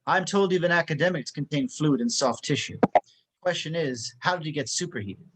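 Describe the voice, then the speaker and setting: Man acting stern spy instructor, fairly high voice, crisp, matter-of-fact, dry-witted. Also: a little fast, a bit bright. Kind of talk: amateur table read.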